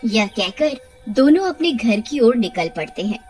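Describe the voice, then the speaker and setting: High, expressive female story narrator. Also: unhurried, soft background music. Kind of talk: scripted horror story narration for cartoon.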